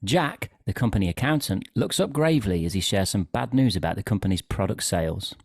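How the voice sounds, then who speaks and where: A man's voice: lowish voice, animated, fairly quick, no room sound, studio quality. A man narrating a scripted comic story.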